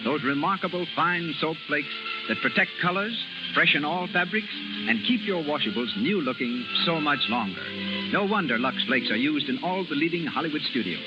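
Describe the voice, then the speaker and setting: An American man with a high, swooping voice announces in 1930s radio style, formal and full of showmanship. Music plays behind him on a dull old broadcast recording.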